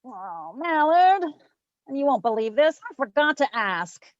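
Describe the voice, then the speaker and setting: A woman's voice: sits high, big pitch swings, a little slow, no room sound. A woman playing a breezy, flirtatious female private eye, trading quips in a 1940s-style radio drama. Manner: flip, teasing banter. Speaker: radio drama lead and narrator. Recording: slightly dull lo-fi recording.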